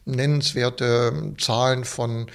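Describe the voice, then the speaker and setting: A man, a religious studies scholar, explaining in an academic, measured way with occasional fillers. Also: mid-range voice, fairly even intonation, creaky, smooth. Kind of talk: interview soundbite.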